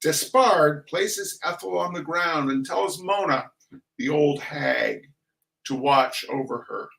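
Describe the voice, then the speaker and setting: A man reading a comic literary piece aloud in a theatrical, mock-serious manner with dramatic pauses. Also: a little high, vocal fry, a bit bright, poor audio. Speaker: reader.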